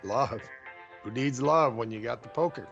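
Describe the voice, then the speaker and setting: Gruff, creaky-voiced sardonic yachtsman. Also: medium pitch, normal speed, a bit bright, highs cut off, faint music behind. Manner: sardonic, blunt, slangy. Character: gruff, sardonic man, card-playing yachtsman friend. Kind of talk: audio drama acting.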